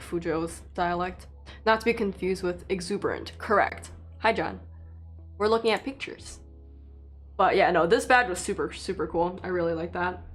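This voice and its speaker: A young woman from China, a native Mandarin speaker, chatting casually with viewers on a livestream in a relaxed, rambling way full of fillers. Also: mid-range voice. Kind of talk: casual live-stream chat with viewers.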